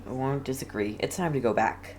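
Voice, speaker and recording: A man's voice: mid-range voice, a little fast, crowd noise. A man giving casual let's play commentary, reacting to the game in a chatty, offhand way. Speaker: game streamer.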